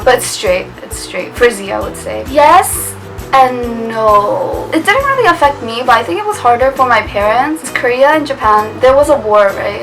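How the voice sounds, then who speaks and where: A young woman chatting casually to camera in a vlog, laughing often and trailing off with little "I guess" asides. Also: fairly high pitch. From United States. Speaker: vlogger. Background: music.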